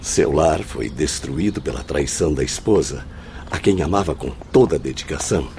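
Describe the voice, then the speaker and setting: An actor playing an earnest, well-informed spirit who recounts tragedy in formal, eloquent, emphatic phrases in a radio drama. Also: male voice, a bass voice, animated, moderate pace, creaky, mellow, a little hiss or hum, exaggerated voice. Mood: grave, compassionate.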